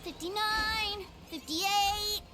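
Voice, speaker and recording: A woman voicing an innocent, excitable little boy with a childlike voice in a video game. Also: extremely slow, over loud music, full character voice. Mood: counting, curious. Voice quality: childlike, high. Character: innocent, excitable little boy who adores his big brother.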